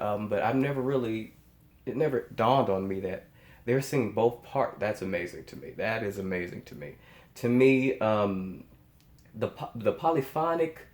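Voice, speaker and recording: A lowish-voiced American man records reaction commentary in a roomy, echoing space. He talks casually and emphatically, repeating phrases for weight and often pausing to hunt for the right word. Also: darkish tone. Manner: halting, searching for words. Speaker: music reaction channel host. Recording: echoey, roomy sound.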